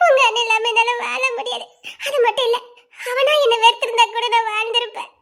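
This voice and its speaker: Very high-pitched plaintive village girl. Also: steady tone, a little fast, fairly bright tone. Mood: tearful. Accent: southern Tamil Nadu. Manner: plaintive, emotional. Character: distraught seventeen-year-old South Indian village girl, dramatic.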